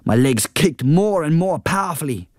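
A man's voice: on the high side, expressive, moderate pace, dry recording, clean studio sound. Earnest man reading a story. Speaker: audiobook reader. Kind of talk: first-person story narration.

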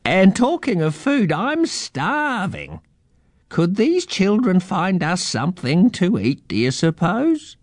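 Eccentric old bird-man for storybook male reader performing, raised pitch, folksy and friendly. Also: huge pitch swings, slow, no echo, studio quality, full character voice. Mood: cheerful, hungry.

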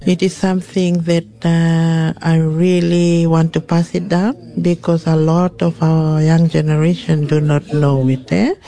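A woman's voice: sits low, little pitch movement, a little slow, hardly any pauses, warm tone. A woman who teaches weaving, speaking in simple, heartfelt sentences in an interview clip.

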